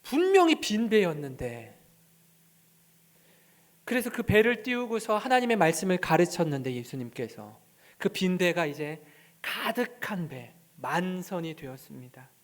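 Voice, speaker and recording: Male pastor, high and very lively voice, animated storyteller who plays out scenes in his sermon. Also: measured pace. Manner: animated storytelling, asks the congregation questions; acts out scripture scenes with voices.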